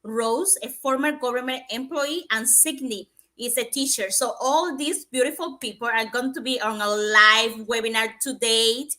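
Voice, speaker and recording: A woman's voice: a little high, sharp tone. A woman from Cuba co-hosting an online live show, cheerful and laughing easily. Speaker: co-host.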